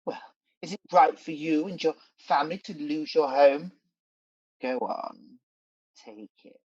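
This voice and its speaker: A scheming Demon King in a radio pantomime, mid-pitched and theatrical. The delivery is sly and coaxing, and the sound is close and dry but slightly dull. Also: measured pace, a little mellow, breathy edge, dry recording. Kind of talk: pantomime radio play acting.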